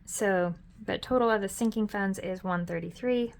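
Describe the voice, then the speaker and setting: Chatty middle-aged woman, slightly hoarse. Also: mid-range voice, moderate pace. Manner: chatty and upbeat, with frequent ums and self-corrections. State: hoarse, losing her voice. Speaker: budgeting vlogger. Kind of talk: casual budget walkthrough.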